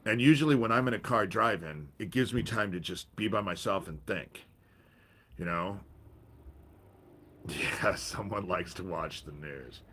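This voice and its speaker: A man hosting a casual livestream from his back porch, with a deep voice and a stuffy head cold, rambling with pauses and restarts. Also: fairly bright tone, husky. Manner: rambling, pauses, self-interrupting. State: congested from a cold. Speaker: livestream host.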